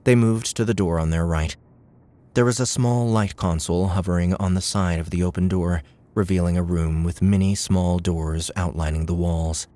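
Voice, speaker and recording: A man's voice: low-pitched, fairly even intonation, normal speed, warm tone. A man reading scripted fiction as an audiobook narrator.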